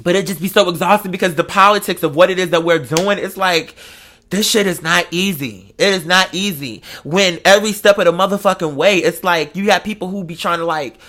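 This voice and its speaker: A man's voice: sits high, brisk, bright, forceful tone. A man chatting casually and confessionally to his online followers as host, rambling and digressive, with emphatic, slangy, often profane phrasing.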